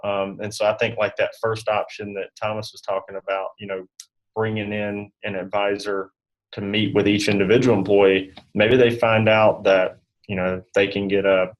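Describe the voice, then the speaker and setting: Low-voiced man giving halting, casual advice. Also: flat delivery, lo-fi sound. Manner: halting, loose, peppered with "you know". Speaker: guest advisor. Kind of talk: casual interview talk.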